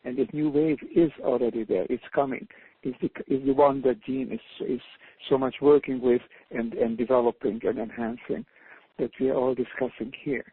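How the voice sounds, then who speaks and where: A man's voice: mid-range voice, moderate pace. An older man from Hungary, speaking English with a Hungarian accent, talks earnestly on a panel as an author calling in by phone, often repeating words as he searches for them. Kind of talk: reflective panel talk by phone. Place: calling in from home abroad. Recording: husky tinny phone line.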